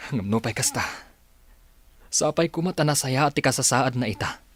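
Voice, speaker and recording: Man acting sorrowful old Ilocano grandfather, swooping pitch. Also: animated, no echo, close to an everyday voice. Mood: wistful. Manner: sorrowful, plaintive, drawn-out delivery. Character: remorseful old grandfather longing for his lost family. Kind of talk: Filipino radio drama acting.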